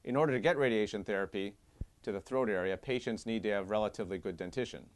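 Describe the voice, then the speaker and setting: A man in his fifties, a surgeon, giving an earnest, measured scripted appeal from his office. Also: fairly low pitch. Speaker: head and neck surgeon. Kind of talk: scripted advocacy monologue. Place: doctor's office with diplomas.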